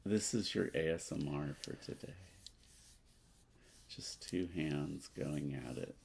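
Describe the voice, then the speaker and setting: A man's voice: rumbling low, slow, gravelly, noisy background. A man co-hosting a board game playthrough, chatting casually as he plays. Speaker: board game player and co-host.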